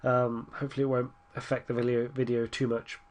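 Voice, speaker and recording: A man's voice: mid-range voice, little pitch movement, brisk, a little mellow. A young man with a stuffy nose from a cold, rambling through casual commentary over a football management game and urging his team on. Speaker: gaming YouTuber.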